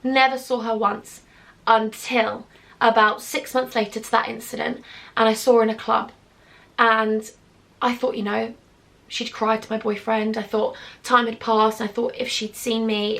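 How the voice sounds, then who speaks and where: Chatty young British woman, slightly high. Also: level tone, moderate pace, vocal fry, a bit bright. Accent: British English. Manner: chatty, confiding, sarcastic asides. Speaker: YouTuber. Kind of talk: storytime vlog.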